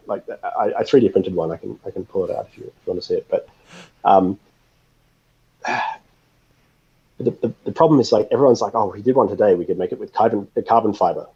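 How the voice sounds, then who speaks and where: A man being interviewed, speaking casually and haltingly as he thinks aloud, with wry, informal asides. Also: lowish voice, dark tone, husky, muffled recording. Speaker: interview guest, software systems architect.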